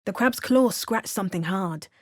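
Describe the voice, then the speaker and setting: A woman's voice: medium pitch, moderate pace, no room sound, clean studio sound, lightly acted. A woman narrating as a heroine recalling visions. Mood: reflective. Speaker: player character narrating memories. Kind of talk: first-person game narration.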